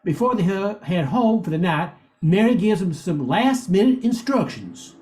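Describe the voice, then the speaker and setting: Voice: medium pitch, expressive, moderate pace, darkish tone, low-quality recording. An older amateur narrator reading a scripted radio play, occasionally stumbling and repeating words.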